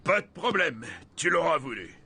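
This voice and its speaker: An actor playing a swaggering, bullying adult gym teacher, loud and theatrical, in a cartoon. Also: male voice, on the high side, very animated, normal speed, vocal fry, a little hiss or hum, heavily acted. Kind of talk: animated cartoon dub acting.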